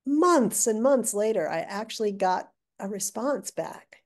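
An older woman, a guest author, telling stories in a chatty, animated way with restarts, heard over a video call. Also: mid-range voice, a little slow. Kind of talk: casual interview chat. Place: living room with brick wall. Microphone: video call webcam.

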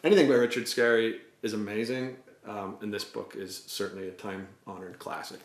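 A man's voice: on the low side, steady tone, brisk. A man in his forties, co-hosting a casual family vlog and chatting about books. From England. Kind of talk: casual book chat to camera. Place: indoors, living room on a couch. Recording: roomy indoor sound.

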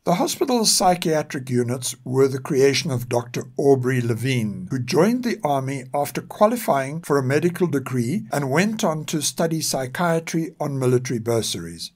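An older man reads scripted historical narration in a measured, formal and serious way. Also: medium pitch, sing-song, darkish tone. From South Africa. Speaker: narrator and podcast author.

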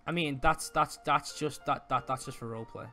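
A man improvising a casual Minecraft roleplay, excitable and often repeating words in stammered bursts. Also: mid-range voice, brisk, no echo, faint music behind. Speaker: roleplay player.

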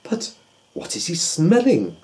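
A man's voice: on the high side, wildly swooping pitch, slow pace, a little mellow, pitched above the performer's own voice, exaggerated voice. A man voicing a kindly, fussy old male doctor in a storybook, exclaiming in a raised voice. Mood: puzzled.

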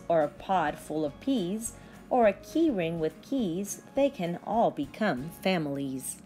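A woman's voice: fairly low pitch, a little mellow. A woman in her thirties, a teacher reading aloud and talking to young pupils in simple, instructive phrasing. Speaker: early-grade teacher. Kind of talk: children's read-aloud and lesson talk to pupils.

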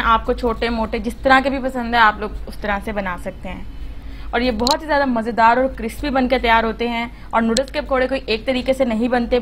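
Lady doing a cooking voice-over, kinda high voice, chatty, lots of fillers, bit of hum behind. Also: a bit bright. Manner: chatty, instructive, many fillers. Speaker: cooking channel host.

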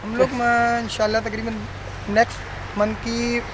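A man's voice: very high-pitched, completely flat delivery, measured pace. A young man, giving brief, matter-of-fact answers as a seller in an informal interview. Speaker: cattle seller. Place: outdoors in an open cattle farm yard. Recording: noisy open-air farm, off the camera.

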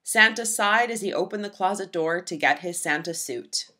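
A woman reading a children's storybook aloud with expressive, dramatic emphasis. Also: lowish voice, normal speed. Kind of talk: expressive scripted read-aloud narration for young children.

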